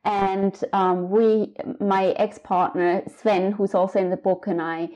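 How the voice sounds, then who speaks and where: A woman in her thirties from Switzerland, a book author talking reflectively in an interview with many pauses and fillers. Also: medium pitch, flat delivery, mellow. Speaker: author guest. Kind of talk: casual interview conversation.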